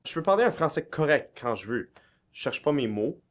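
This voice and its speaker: A man's voice: on the high side, big pitch swings, fairly quick. A young Franco-Manitoban man delivering scripted film lines in a casual, colloquial way. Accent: Franco-Manitoban French. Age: young adult.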